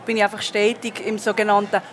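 A woman's voice: mid-range voice, flat delivery, fast, a little hiss or hum. A woman in her thirties with a Swiss German accent, a singer answering interview questions in a chatty way with many fillers. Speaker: guest singer.

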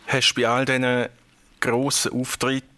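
A male interviewer with a bright, forward tone. His pitch sits in the ordinary middle range, and he asks his questions in a loose, conversational way that still pushes for answers. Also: completely flat delivery, normal speed. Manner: probing, conversational.

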